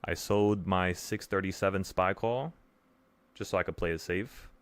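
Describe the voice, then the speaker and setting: A man's voice: low-pitched, moderate pace, smooth, dry recording. A man in his twenties hosting a livestream, talking casually to viewers in an earnest, rambling way with repeated phrases for emphasis. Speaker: livestream host, options trading teacher.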